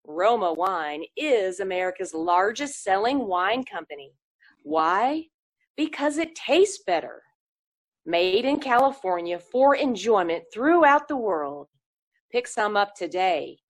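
Female commercial announcer, 1940s radio style: unhurried, deliberate, promotional, medium-pitched. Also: sing-song.

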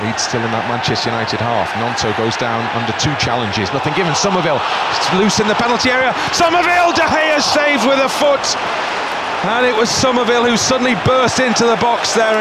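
A man delivers live football commentary in a high-pitched voice. His delivery is dramatic and emphatic, landing hard on the big moments. A huge, noisy stadium crowd roars behind him. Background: roaring football crowd.

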